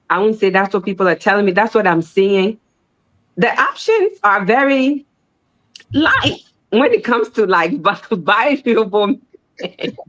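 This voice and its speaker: A woman speaking casually on a discussion panel, animated and repetitive, her pitch rising sharply when she makes a point. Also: rough recording.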